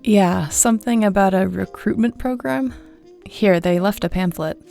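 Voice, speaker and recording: A woman playing a wry, attentive detective's assistant in an audio drama, speaking in a conversational, gently teasing way. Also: mid-range voice, moderate pace, gravelly, natural voice. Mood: wry, casual. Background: background music.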